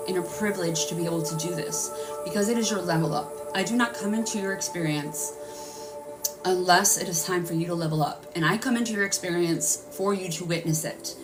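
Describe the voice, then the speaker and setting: A woman's voice: fairly low pitch, a little fast, far from the mic. Rambling woman healer off-mic over music. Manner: earnest, rambling, guiding breathing aloud. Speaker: energy healer hosting a live stream. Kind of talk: live-streamed healing session. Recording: voice a bit off-mic with music playing.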